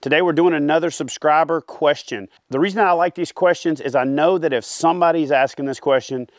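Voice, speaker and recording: A man, hosting a fishing channel and giving casual advice straight to camera outdoors by a lake, animated and full of "uh" fillers. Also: fairly high pitch, brisk, no echo. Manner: animated, talks with his hands, many "uh" fillers. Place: outdoors by a lake among trees.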